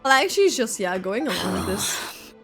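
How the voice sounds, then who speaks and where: A woman giving casual, halting gameplay reaction commentary, thinking aloud as she goes. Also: medium pitch, big pitch swings. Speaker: game streamer reacting. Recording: game music behind the voice.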